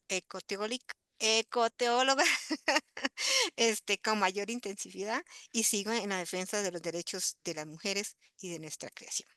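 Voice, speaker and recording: Woman pastor, steady and encouraging, bright voice, dry sound. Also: mid-range voice, dry recording. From Costa Rica. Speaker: pastor and theology student. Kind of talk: panel self-introduction.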